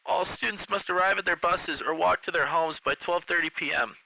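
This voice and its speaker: Man putting on a bright, creaky school announcer. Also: high voice, a little fast, no room sound, pitched above the performer's own voice, full character voice, low-quality recording. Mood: formal, apologetic. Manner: formal, announcing. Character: polite school announcer over loudspeaker.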